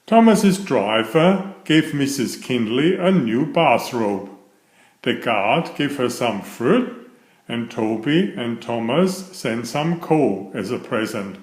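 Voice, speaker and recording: A man's voice: mid-range voice, lively intonation, slow, roomy sound. A man narrating a children's story, reading aloud from a script.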